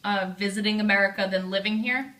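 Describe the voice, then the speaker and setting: A woman with a bright, clear voice interviews her grandmother. She prompts with friendly, simple questions and keeps the conversation casual. Her pitch sits in the middle of the range. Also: steady tone, fairly quick.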